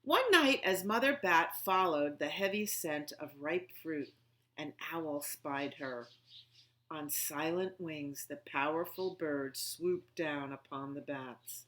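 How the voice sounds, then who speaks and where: A woman hosting a library storytime for young children, speaking in simple phrases with questions and pauses as she chats and reads aloud. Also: sits low, animated, fairly bright tone. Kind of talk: children's storytime with songs, rhymes and read-aloud.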